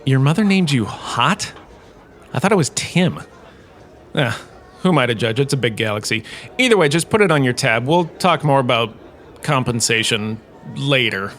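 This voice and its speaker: A man's voice: medium pitch, animated, moderate pace, fairly bright tone, natural voice. Guy playing a smug has-been space cantina lecturer, wry n chatty, voice jumps around a lot, hammy emphasis. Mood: smug, dismissive. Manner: wry, conversational, theatrical emphasis. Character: self-important washed-up lecturer holding forth in a space cantina. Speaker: host, lecturer. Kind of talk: scripted comedic lore lecture, narration.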